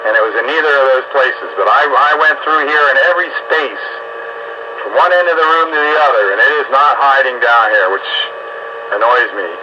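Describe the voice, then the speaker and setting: A man's voice: medium pitch, brisk. A plainspoken, grumbling man chatting casually as he hosts a get-together over CB radio. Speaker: host of a CB radio roundup. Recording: muffled, noisy CB radio transmission.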